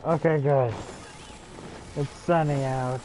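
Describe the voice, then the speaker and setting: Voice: medium pitch, fairly even intonation, slow, mellow, a little hiss or hum, low-quality recording. A streamer giving casual commentary on a game, chatty and reacting aloud as things happen.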